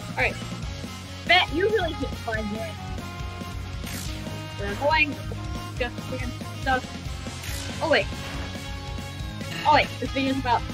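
A high-voiced player talks through a game in a casual, offhand way, thinking aloud in short remarks. Game music plays clearly behind the voice. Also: slow pace, long pauses, rough recording. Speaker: gaming video creator playing and commenting. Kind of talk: casual let's play commentary. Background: video game music.

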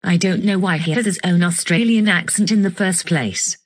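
A woman playing a pushy little would-be president in a comedy skit, with a husky voice and a blunt way of talking. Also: on the low side, moderate pace. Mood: resigned. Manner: blunt, insistent. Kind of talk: scripted comedy skit dialogue.

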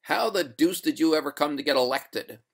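Storybook householder , male reader: testy, witty gentleman, formal and dryly sarcastic, gravelly creak. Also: on the high side, moderate pace. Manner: formal, asperity, dry sarcasm. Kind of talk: storybook character voice.

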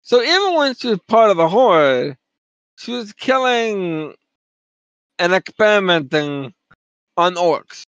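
A man's voice: high voice, very animated, takes it slowly, pauses often, fairly bright tone, no room sound, highs cut off. A man chatting casually on a livestream in a blunt, emphatic, opinionated way. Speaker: streamer and co-commentator. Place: indoor room at a streaming setup.

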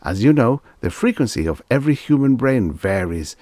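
A man's voice: medium pitch, big pitch swings, normal speed, a little mellow, dry recording, voice pushed up, natural voice. A man voicing a fussy, deferential salesman in an audiobook story, anxious to please and formally wordy. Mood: frightened, pleading. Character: eager, anxious, fussy salesman clerk, deferential and long-winded.

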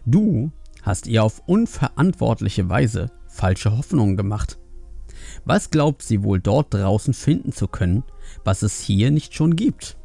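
A man's voice: fairly low pitch, very animated, a little slow, vocal fry, dark tone, dry recording, faint music behind, exaggerated voice. A man voicing an irate, scolding middle-aged panda father in an audiobook, sarcastic and indignant. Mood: accusing. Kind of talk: audiobook character voice.

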